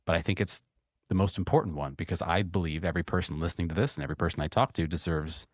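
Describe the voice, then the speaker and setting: A man with a fairly low voice speaks earnestly, encouraging his listeners. His tone is casual and warm-hearted podcast chat. The recording is dry and close to the mic. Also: very fast, no room sound. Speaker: podcast co-host.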